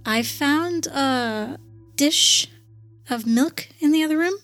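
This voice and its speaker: A woman playing a tabletop roleplaying game in a fairly high voice, politely questioning others in character. She moves between narrating her actions and speaking as her character, over faint music. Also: slow, a bit bright, no echo. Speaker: player.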